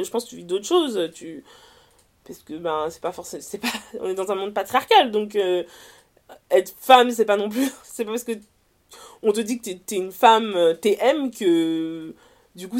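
Casting: young woman, French accent from France, mid-pitched voice, conversational interview guest, animated, slangy delivery that restarts and reworks its phrases. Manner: animated, slangy, often self-correcting. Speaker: interview guest, writer and columnist. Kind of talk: casual conversational testimony.

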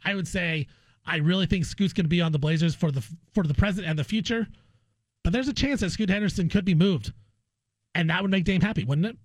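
Higher-pitched man hosting sports radio. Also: brisk, no room sound. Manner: opinionated, conversational. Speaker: guest radio host. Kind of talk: sports talk radio discussion.

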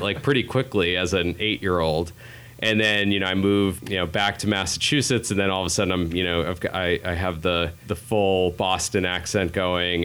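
A man's voice: deep voice, fairly bright tone, clean tone. A man from New England, a podcast guest, telling casual stories with many fillers and restarts. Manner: rambling, self-deprecating, frequent fillers and restarts. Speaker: podcast guest, healthcare digital strategist. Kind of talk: casual interview storytelling.

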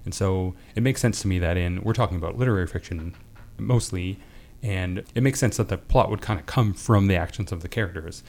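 A man's voice: sits low, a little fast, a little mellow. A man speaking as a guest on a podcast, explaining his ideas about literature as he thinks aloud. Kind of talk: casual literary discussion.

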